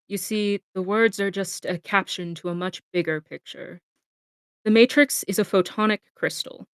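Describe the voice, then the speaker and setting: A woman's voice: medium pitch, no room sound, studio quality, close to an everyday voice. A woman voicing a brainy, matter-of-fact robot scientist in an amateur comic dub, explaining precisely.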